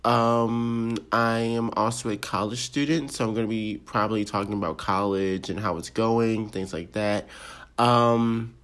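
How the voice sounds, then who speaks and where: A man's voice: fairly low pitch, steady tone, measured pace, a bit bright. A nineteen-year-old man hosting a casual solo podcast intro, rambling and self-deprecating with lots of ums and little laughs, his voice a bit off from being sick. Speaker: podcast host.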